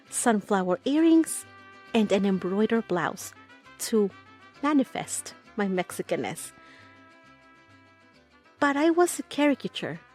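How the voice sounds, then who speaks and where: A woman's voice: mid-range voice, a little mellow. A woman from the United States narrating a documentary about belonging, in an earnest, reflective way.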